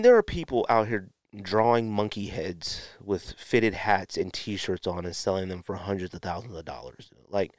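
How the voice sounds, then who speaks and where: Low-voiced man ranting on a podcast. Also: no echo, clean studio sound. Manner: exasperated, blunt, emphatic with rhetorical questions. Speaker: podcast host. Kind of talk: opinionated solo podcast rant.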